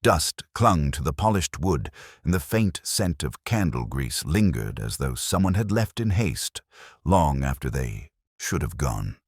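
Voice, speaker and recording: A man acts out a weary, reflective police inspector telling his case as a scripted mystery. The voice sits extremely low yet moves expressively up and down, recorded dry and close. The delivery is measured, literary and brooding. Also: very animated, husky, dry recording, exaggerated voice. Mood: quietly intent. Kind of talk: scripted first-person mystery narration.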